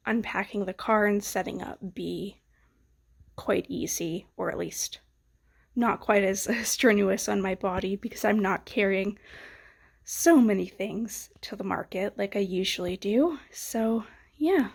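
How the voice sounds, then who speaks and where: Sick young woman chatting to her camera at home, stuffy nose, gravelly slow talk. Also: mid-range voice. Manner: soft-spoken, chatty, often trailing into whispers. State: sick, sniffly and nasal. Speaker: vlogger. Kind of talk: casual chat to camera. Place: home studio.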